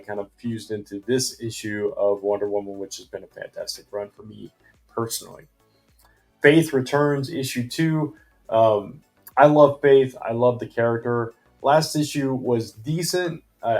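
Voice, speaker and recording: A man hosting a casual comic book review vlog, rambling with many ums and uhs, his voice going hoarse and his mouth dry. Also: lowish voice, darkish tone, poor audio. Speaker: comic book channel host.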